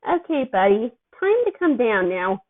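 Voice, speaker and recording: A woman's voice: on the high side, big pitch swings, a little slow. A woman voicing a frazzled, defensive man looking after a superpowered teenager, in an amateur story reading. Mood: exasperated. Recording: thin, phone-like narrow-band sound.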